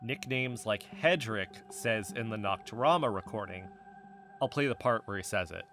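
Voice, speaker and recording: An actor playing an earnest, defensive amateur investigator in a naturalistic fiction podcast, speaking insistently as if in real conversation. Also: male voice, on the low side, moderate pace, fairly bright tone, slight background noise.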